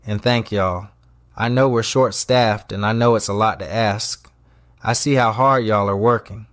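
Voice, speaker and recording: A man's voice: on the low side, steady tone, unhurried, a bit bright, dry recording. A man playing a commanding restaurant boss in an audio drama, with a clipped, dryly funny delivery. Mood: sincere. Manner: commanding, clipped, dry humour. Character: brisk, wry male restaurant boss running a tight ship.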